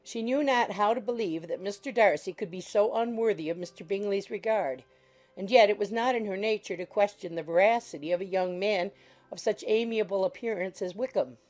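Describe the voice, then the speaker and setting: A woman's voice: medium pitch, brisk, a little mellow, faint music behind. A woman reading scripted literary narration for an audiobook.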